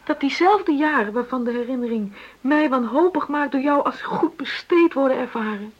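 An actress in a radio drama playing an unhappy middle-aged wife who feels trapped, speaking openly and haltingly in a husky voice. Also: female voice, high-pitched, expressive, dull audio. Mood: anguished. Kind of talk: naturalistic radio drama dialogue.

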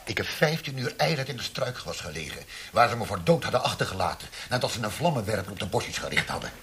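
An actor playing a menacing, sneering government interrogator in a Dutch radio play, mocking and theatrical, with deliberate threats. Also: male voice, on the low side, big pitch swings, fairly bright tone. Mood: bitter, intense. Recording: hissy old radio play recording.

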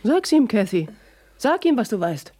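An actress playing a bitter, wounded, vengeful woman in a German radio drama, husky and accusing. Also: a little high, very animated, normal speed, dark tone, no echo, clean studio sound. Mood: threatening.

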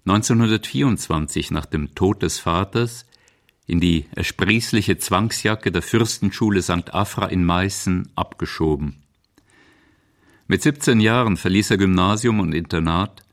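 A man with a very low voice narrates in a scripted documentary style. The delivery is that of a radio feature narrator, measured and composed.

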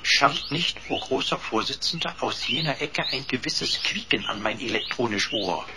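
An actor playing a pompous, servile computer assistant in a sci-fi radio play, speaking in stilted, flowery, over-formal phrases. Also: male voice, medium pitch, lively intonation, normal speed, heavy background noise, close to an everyday voice. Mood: pompous, deferential.

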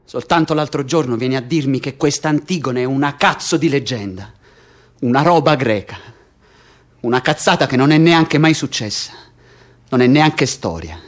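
An actor playing a stubborn, hot-headed, foul-mouthed political prisoner in a theatrical radio drama, speaking vehemently and repeating himself. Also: male voice, medium pitch, expressive, normal speed, lightly acted. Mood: angry, defiant.

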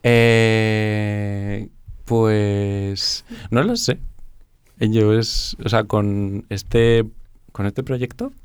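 A man's voice: fairly low pitch, drawn-out words, clean tone, dry recording, studio quality. A young man from Murcia, a choreographer chatting casually as a guest and laughing often. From Murcia, Spain. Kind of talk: casual interview talk.

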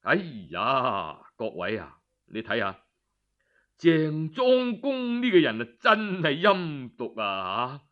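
A man's voice: mid-range voice, huge pitch swings, slow pace, dull audio. A man telling a historical tale in Cantonese, in a theatrical traditional storytelling style that addresses listeners directly. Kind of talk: dramatic traditional oral storytelling.

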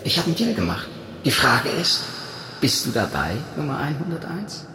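Sly schemer in an echoing hall. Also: male voice, on the high side, huge pitch swings, moderate pace, sharp tone, full character voice. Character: disembodied schemer craving a body, sly and powerful. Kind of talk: German radio drama acting. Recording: noisy echoing hall, long reverb.

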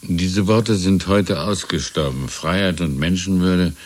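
An actor playing a wistful, deferential old shopkeeper who talks in a halting, musing way, in a 1970s radio drama. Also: male voice, deep voice. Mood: musing, helpless. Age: older. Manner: halting, musing, repeating himself. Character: wistful, deferential old shopkeeper reminiscing about lost freedom.

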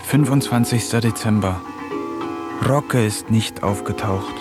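A man's voice: fairly low pitch, unhurried. A man narrating a radio drama in the first person, wry and brooding, like a diary. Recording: studio radio play with loud music.

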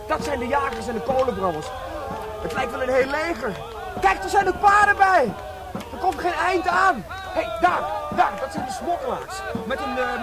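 An actor playing an excitable, defiant seventeen-year-old boy in a radio drama, breathlessly repeating himself and calling out. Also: very high-pitched.

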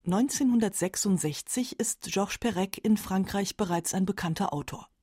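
A woman narrating a scripted radio documentary in a calm, factual manner. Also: medium pitch, normal speed, slightly rough, dry recording, studio quality. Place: dry radio studio.

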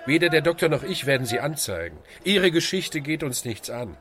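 An actor playing a calm, wry, probing volunteer leader, speaking with dry irony in a radio drama. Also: male voice, a little high, a little fast, slight background noise.

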